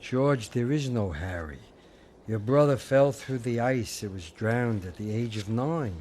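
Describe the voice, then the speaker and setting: A man's voice: medium pitch, animated, unhurried, a little mellow, slight background noise, lightly acted. A man playing a fussy old angel. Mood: gentle, wise. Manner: fussy, hesitant, stammering. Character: gentle bumbling elderly male guardian angel. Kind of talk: radio play acting.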